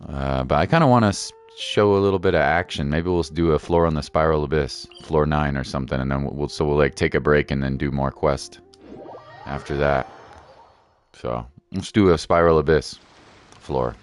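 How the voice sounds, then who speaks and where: A man's voice: extremely low pitch, normal speed. A man chatting casually as a game streamer, laid-back and thinking aloud. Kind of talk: casual live stream chat. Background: faint game music.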